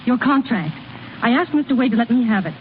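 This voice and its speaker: An earnest young small-town woman played by an actress in a vintage radio drama. Her coaxing, direct voice is fairly high, coming through a thin, phone-like old broadcast. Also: expressive, fast, close to an everyday voice. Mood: earnest, urging. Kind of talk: 1930s-40s radio drama acting. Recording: thin, narrow-band vintage radio recording, faint noise.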